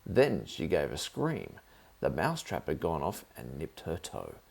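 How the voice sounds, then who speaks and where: A man's voice: very deep voice, huge pitch swings, unhurried, creaky. A man reading a children's story aloud as the narrator.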